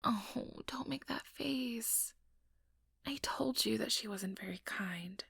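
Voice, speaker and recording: A woman playing an ambitious, sugary, manipulative vampire in an ASMR roleplay, speaking in a breathy, whispery voice with coaxing, condescending sweetness. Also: on the high side, slow, a bit bright, dry recording, full character voice. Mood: falsely sympathetic. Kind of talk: ASMR roleplay, soft whispered monologue to listener.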